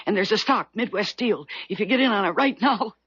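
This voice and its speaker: An actress playing a desperate, scheming young woman in a 1970s radio drama, with intense, halting insistence and a husky voice. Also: mid-range voice, fairly bright tone, no echo. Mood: feverish, insistent. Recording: muffled old radio broadcast.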